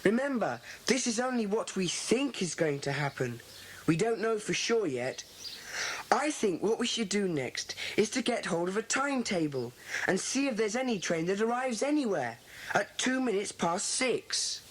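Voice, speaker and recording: A woman playing a bossy, earnest schoolboy who leads a children's club, brisk and commanding in an audiobook dramatisation. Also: medium pitch, big pitch swings, lightly acted. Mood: earnest, decisive. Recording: hissy old cassette dramatisation.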